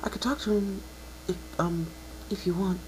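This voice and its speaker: A timid young mare from a fan-fiction reading, put on by a man. The voice is lifted high and speaks gently and hesitantly. There is faint noise behind it. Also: steady tone, normal speed, close to an everyday voice, low-quality recording. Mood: timid, worried. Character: timid, gentle young female pegasus pony.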